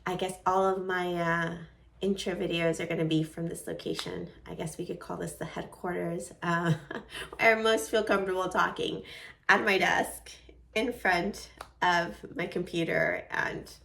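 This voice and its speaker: Woman in her forties, light Venezuelan accent, earnest vlogger with a lowish, gravelly voice, a little off-mic. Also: steady tone, unhurried, distant mic. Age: 40s. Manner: earnest, heartfelt, with soft laughs. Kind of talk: personal vlog talk to camera. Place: at her desk at home.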